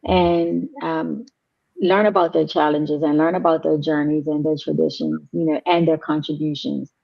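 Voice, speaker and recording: A woman talks on a video call, thoughtful and full of ums. Her voice sits very low with a gravelly fry. The sound is dry and a bit muffled. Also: level tone, normal speed. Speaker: guest member. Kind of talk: casual video call chat. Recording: dull dry line.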